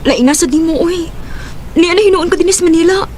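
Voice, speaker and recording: Sweet Cebuano-accented student girlfriend, high voice, giggly, radio drama. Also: brisk, darkish tone, slight background noise. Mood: affectionate. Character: young female student, sweet and affectionate girlfriend.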